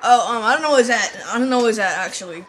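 Comedy dub: muddled survivor sidekick, voiced by a woman, fairly high, hesitant, faint noise behind. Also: rapid-fire, close to an everyday voice. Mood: flustered. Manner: hesitant, flustered, stammering. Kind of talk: improvised comedy game dub.

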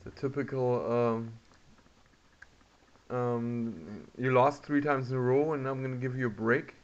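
A man giving casual gameplay commentary, muttering to himself in a halting way. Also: medium pitch. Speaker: video game player. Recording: muffled, noisy home recording.

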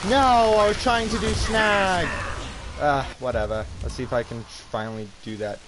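A man's voice: on the high side, fairly even intonation, normal speed. A man streaming a video game with casual, rambling, opinionated and self-deprecating commentary. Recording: noisy gaming stream with game sounds. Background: video game ambience.

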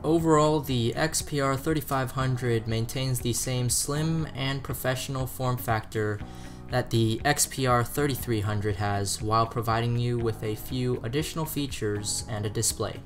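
A man's voice: mid-range voice, moderate pace. Male product reviewer over background music. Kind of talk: scripted product review narration.

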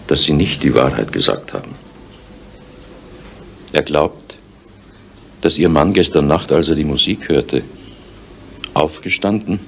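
Very deep, creaky, solemn village confidant. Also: male voice, full character voice. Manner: measured, earnest, formal. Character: patient, solemn village confidant, earnest man. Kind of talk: 1970s German radio crime drama acting. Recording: old radio recording with faint noise.

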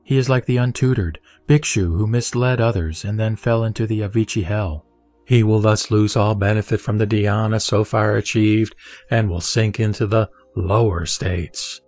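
A man reading a Buddhist scripture aloud in a measured, solemn recitation. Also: fairly low pitch, steady tone, darkish tone, no room sound, faint music behind. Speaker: sutra reader.

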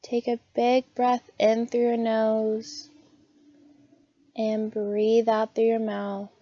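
Slow, mellow woman guiding meditation. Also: on the high side, completely flat delivery, no echo, lo-fi sound. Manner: soothing, gentle, unhurried instruction. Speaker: guided meditation narrator. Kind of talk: scripted guided meditation.

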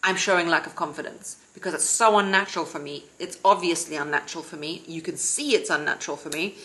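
A woman in her thirties giving casual advice to camera from her living room sofa, in a calm, steady, self-described monotone. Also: sits low, quick pace, low-quality recording. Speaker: vlogger and confidence coach.

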